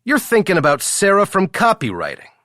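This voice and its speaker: A man voicing a brisk young male coworker who teases and needles in quick, chirpy bursts. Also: sits high, wildly swooping pitch, bright, forceful tone, dry recording, clean studio sound, voice pushed up, heavily acted. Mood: teasing.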